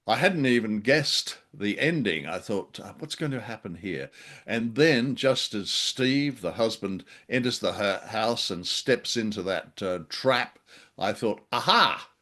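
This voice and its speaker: A man's voice: on the low side, lively intonation, unhurried, pushed and bright. A man co-hosting a casual review chat, commenting on a drama. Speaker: podcast co-host.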